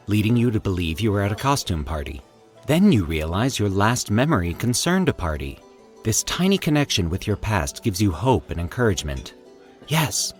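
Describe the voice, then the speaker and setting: Low-voiced man narrating with dramatic swings. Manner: formal, deliberate, theatrical storytelling delivery. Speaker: host and narrator of a fiction podcast. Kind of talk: scripted horror story narration.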